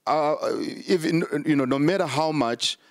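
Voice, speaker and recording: A man's voice: fairly high pitch, moderate pace, no room sound, clean studio sound. A man, a prophet answering interview questions in an emphatic, repetitive preaching cadence with many fillers.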